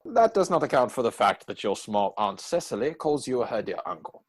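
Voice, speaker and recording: A man's voice: mid-range voice, very animated, moderate pace, slightly rough. A man playing a witty, idle young dandy who pries playfully in arch, formally phrased banter, in a dramatic reading of a Victorian stage comedy. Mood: prying, insistent. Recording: home recording over video call.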